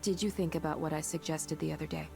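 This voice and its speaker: A woman voicing a kind, supportive colleague in a visual novel game, speaking in a gentle, reassuring way. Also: low-pitched, fairly even intonation, fast talker, gravelly, soft background music. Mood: gently supportive.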